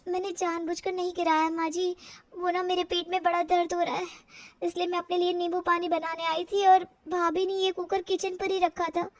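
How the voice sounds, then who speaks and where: A woman's voice: very high voice, little pitch movement, normal speed, no echo. A woman voicing a young daughter-in-law with a bellyache, plaintive and sarcastic, in a storybook tale.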